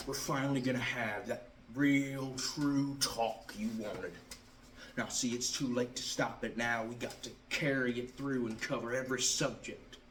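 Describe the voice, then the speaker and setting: A man performing a stage monologue as a bitter, drunken Southern ex-athlete confronting his father, halting and repeating his words. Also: mid-range voice, steady tone, fairly bright tone, natural voice. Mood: confrontational. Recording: roomy, distant mic, faint noise.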